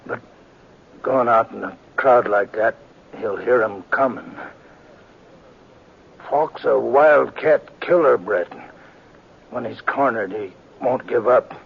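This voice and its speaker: An actor playing a wounded older frontier sheriff, talking in a weak, halting, pleading way in a 1950s radio drama. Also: male voice, mid-range voice, vocal fry, husky, heavily acted. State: injured, weak. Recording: dull old radio recording with faint hiss.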